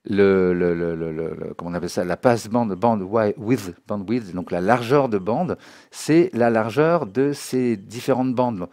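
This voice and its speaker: Low-voiced man teaching music software. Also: unhurried. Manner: didactic, often checking understanding. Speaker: music software trainer giving a tutorial. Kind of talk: informal spoken software tutorial. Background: synthesizer and music demo sounds.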